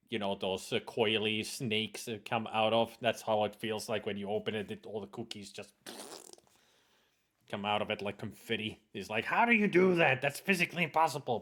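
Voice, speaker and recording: A man's voice: lowish voice, a bit bright. A Scottish man chatting casually while playing a game, rambling with many fillers and frequent laughs. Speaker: let's play co-host. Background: faint background music.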